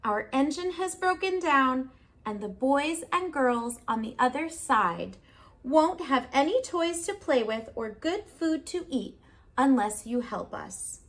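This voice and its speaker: A woman's voice: high voice, expressive, slow, exaggerated voice. A woman voicing a chorus of dolls and toys in a storybook, pleading for help.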